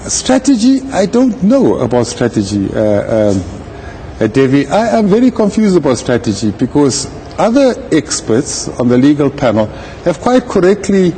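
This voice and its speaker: Guy giving legal commentary, deliberate and formal, mellow voice, some noise behind him. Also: fairly high pitch, sing-song, low-quality recording. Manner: measured, deliberate, formal wording. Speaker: legal commentator, retired judge. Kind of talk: live interview commentary on a court case.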